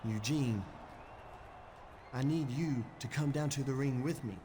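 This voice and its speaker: An earnest, exasperated wrestler, acted by a man in a video game cutscene. He is mid-pitched, blunt and urgent, with faint background noise. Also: measured pace, close to an everyday voice. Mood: urgent, pleading. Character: earnest, exasperated male wrestler pleading with his partner. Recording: faintly noisy game audio.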